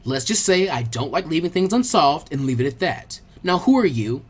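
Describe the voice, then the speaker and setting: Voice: mid-range voice, very animated, normal speed, breathy edge. A narrator voicing a confident teenage kunoichi in an audio story, amused, condescending and taunting. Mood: stern, assured. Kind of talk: expressive fan fiction character reading.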